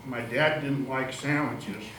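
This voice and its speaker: A man's voice: mid-range voice, takes it slowly. Older man spinning folksy tales, distant and echoey. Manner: folksy storyteller, loud-voiced. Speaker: audience member sharing a memory. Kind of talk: informal anecdotal storytelling. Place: community room at a public panel event. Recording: echoey room, distant mic, clear noise.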